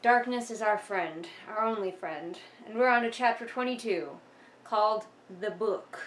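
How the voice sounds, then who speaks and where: A woman's voice: mid-range voice, moderate pace, slight background noise, low-quality recording. A woman giving casual, sarcastic book commentary in an emphatic, exasperated manner, sometimes reading passages aloud. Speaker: vlogger doing a critical book read-along.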